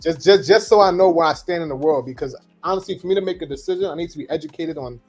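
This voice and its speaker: A man's voice: fairly high pitch, fast talker, faint music behind. A man from Trinidad, co-hosting a casual podcast chat and talking bluntly with jokes and repeated words. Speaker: podcast co-host.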